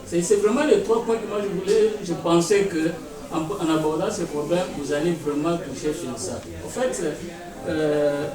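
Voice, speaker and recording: A man from Kinshasa speaking emphatically and indignantly in a debate, repeating his points. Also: fairly high pitch. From Congo (Kinshasa). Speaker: audience member. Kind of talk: impassioned debate intervention. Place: conference hall with audience. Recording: echoey hall, distant mic, audience.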